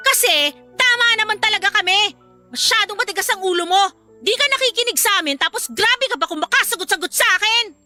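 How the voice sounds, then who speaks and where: A woman's voice: extremely high pitch, animated, quick pace, sharp tone, soft background music, heavily acted. A woman acting an angry, responsible older sister in a Filipino audio drama, nagging and confrontational with indignation. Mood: indignant, reproachful. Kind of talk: dramatized Filipino radio-style drama dialogue.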